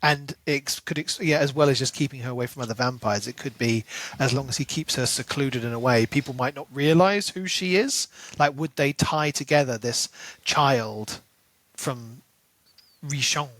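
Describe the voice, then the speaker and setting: A man's voice: medium pitch, moderate pace, a bit bright, no room sound, poor audio. Questioning man in a very dry recording. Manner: questioning, analytical, conversational. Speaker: podcast co-host. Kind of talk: casual book discussion.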